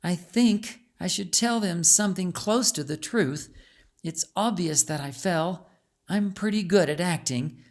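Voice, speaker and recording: Storybook role: earnest, vulnerable young girl played by a male reader. Higher pitch, expressive, slow delivery. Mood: earnest, plotting.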